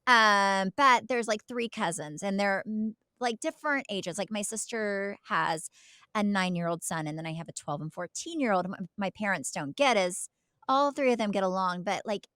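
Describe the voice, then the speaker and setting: A woman's voice: mid-range voice, moderate pace, bright, forceful tone, no room sound, studio quality. A middle-aged American woman from Oklahoma co-hosting a podcast, rambling through family stories in an emphatic, chatty way. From Oklahoma, United States. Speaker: podcast co-host. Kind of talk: casual chat, telling family anecdotes.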